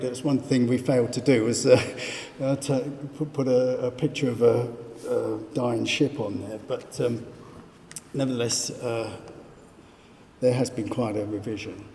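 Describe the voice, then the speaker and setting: A man presenting at a conference panel, halting, with many fillers and dry asides. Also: medium pitch, warm tone. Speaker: panel speaker. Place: conference hall. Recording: echoey hall with faint room noise.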